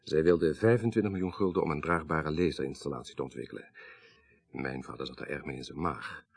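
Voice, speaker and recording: An actor playing a grave, troubled son in a radio drama, speaking in a formal, measured, articulate way. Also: male voice, rumbling low. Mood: measured, serious. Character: grave, troubled son recounting his dead father's story.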